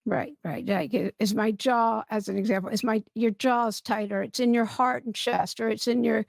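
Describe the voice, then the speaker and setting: A woman hosting a podcast, chatting casually and informally in a halting, conversational way. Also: a little high, fairly even intonation, gravelly, no room sound, clean studio sound. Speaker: podcast host.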